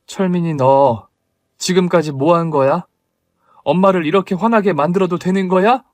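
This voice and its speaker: A middle-aged mother, voiced by a man in an audio story, scolding her son in a raised, emphatic voice. She is loving underneath. The recording is close and dry, with a highish tone. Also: steady tone, quick pace, no room sound, natural voice.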